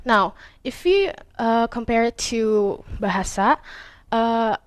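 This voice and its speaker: Teen girl, Indonesian accent, slow halting class presentation with lots of fillers, slightly high voice, dry sound. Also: animated, dry recording. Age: teens. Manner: halting, with frequent fillers and self-corrections; clears throat often. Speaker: student presenter.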